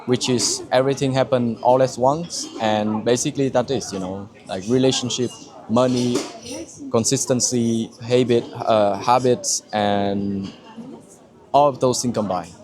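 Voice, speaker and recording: Halting young man. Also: medium pitch, fairly even intonation, a crowd in the background. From Vietnam. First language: Vietnamese. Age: 20s. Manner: halting, with frequent restarts and searching for words. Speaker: client giving a testimonial. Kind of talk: candid personal testimony.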